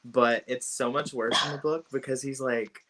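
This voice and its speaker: A woman's voice: rumbling low, fairly even intonation, moderate pace, a bit bright. A woman co-hosting a casual book discussion, frank and opinionated, with short laughing asides. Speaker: podcast co-host.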